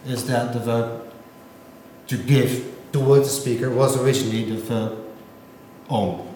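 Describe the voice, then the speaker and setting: A man's voice: mid-range voice, steady tone, a little slow, dark tone, slight background noise. Man, Dutch first language, lecturing, frequent "right?", echoey room. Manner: explanatory, checks understanding with frequent "right?". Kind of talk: university lecture on linguistics.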